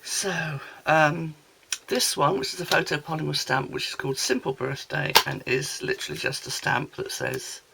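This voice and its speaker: A woman with a hoarse, gravelly voice from a sore throat, chatting in a rambling way as she shows off a craft haul. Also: very deep voice, background noise. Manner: chatty, rambling, many ums and asides. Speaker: craft vlogger. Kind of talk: casual product haul chat.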